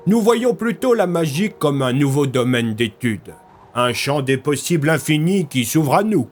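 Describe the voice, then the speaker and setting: A man's voice: a little high, animated, unhurried. A man playing a refined, scheming military leader in an audio drama, with urbane, courteous and smug manners. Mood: smug, persuasive. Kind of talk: French audio fiction acting.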